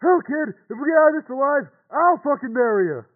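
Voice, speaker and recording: A man's voice: very high-pitched, animated, brisk, voice pushed up. Man as a soldier over tinny radio. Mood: jubilant. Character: gruffly jubilant, foul-mouthed soldier on helmet radio. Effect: helmet radio filter. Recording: thin, phone-like radio band.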